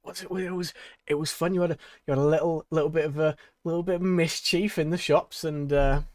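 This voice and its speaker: A man's voice: a little high, fairly even intonation, brisk, no room sound, clean studio sound. A man running a tabletop game as game master, laughing often, with many ums and restarts.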